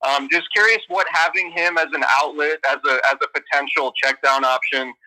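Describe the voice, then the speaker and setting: A man's voice: fairly high pitch, fairly even intonation, fairly quick, sharp tone, poor audio. A man asking questions as a sports reporter on a press call, hesitant and repeating words.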